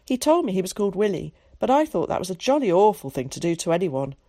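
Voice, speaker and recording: A woman's voice: medium pitch, sing-song, quick pace, warm tone, no room sound, clean studio sound. Woman doing a chatty, cultured boy. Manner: cultured, voluble. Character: chatty well-spoken boy, confident.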